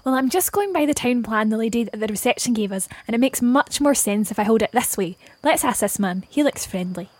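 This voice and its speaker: A woman plays an assertive wife on holiday in a language-lesson radio play. Her voice sits a little high and is recorded close and dry. She sounds teasing and sure she is right. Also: expressive, a little fast, few pauses, darkish tone, no echo. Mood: insistent, teasing. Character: assertive Scottish wife on holiday, bickering over directions.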